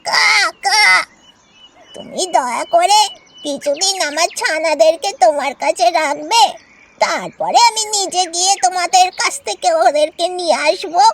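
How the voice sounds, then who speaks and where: A woman's voice: extremely high pitch, measured pace, slight background noise. A woman voicing a touchy, scheming mother crow in a cartoon, with a wheedling, cawing delivery. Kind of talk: cartoon voice acting.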